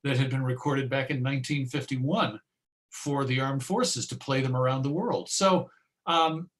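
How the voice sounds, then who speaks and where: A man presenting an informal online talk and answering questions in a hesitant, musing way, often pausing mid-phrase. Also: mid-range voice, fairly even intonation. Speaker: presenter and old-time radio collector.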